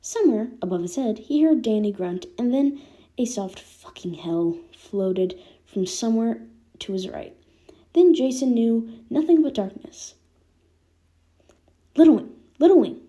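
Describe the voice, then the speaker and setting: A woman's voice: a little high, lively intonation, unhurried, mellow. A woman reading fan fiction aloud as scripted narration. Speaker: podfic reader.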